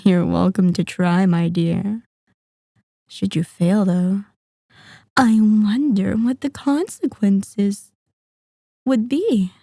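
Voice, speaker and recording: A sadistic female vampire , acted by a woman, speaks in a dark, velvety voice at an extremely unhurried pace. The recording is dry and close, in ASMR roleplay style. She is seductive and possessive, condescending to her listener and savoring each phrase between pauses. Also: medium pitch, gravelly, no room sound. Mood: amused, taunting. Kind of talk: scripted ASMR roleplay monologue.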